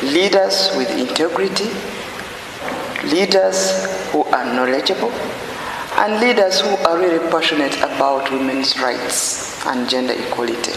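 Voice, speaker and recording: A man's voice: fairly high pitch, animated, unhurried. A man speaking earnestly and emphatically for an organization in a documentary interview. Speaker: organization representative. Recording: clear background noise.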